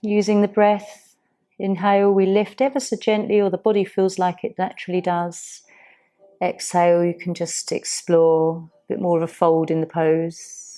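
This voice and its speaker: A woman teaching a yoga class, guiding the poses and breathing in a soft, soothing, unhurried way. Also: lowish voice, flat delivery. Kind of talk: guided yoga class instruction.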